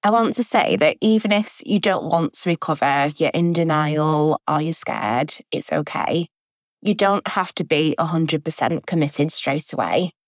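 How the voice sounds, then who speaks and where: A young woman speaks in an earnest, reflective tone. Her voice sits low, and the recording is dry and close. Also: lively intonation, unhurried, dry recording. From England. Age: 20s. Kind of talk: recorded personal message.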